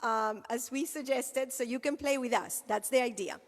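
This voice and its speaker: High-voiced woman hosting in a reverberant hall. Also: normal speed. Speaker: concert host and singer. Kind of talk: addressing children at a sing-along concert.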